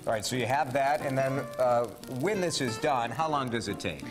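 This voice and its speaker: Chatty male TV reporter, lively pitch. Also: moderate pace, music in the background. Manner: chatty, leading questions, jokey. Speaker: TV reporter and co-host. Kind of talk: live TV cooking segment banter. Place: studio kitchen, indoors.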